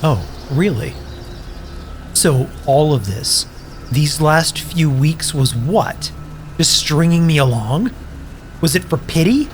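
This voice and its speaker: An actor playing a bitter, self-pitying wasteland survivor in an audio drama, sarcastic, profane and defensive. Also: male voice, on the high side, animated, slow, pauses often, a little hiss or hum. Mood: bitter, wounded.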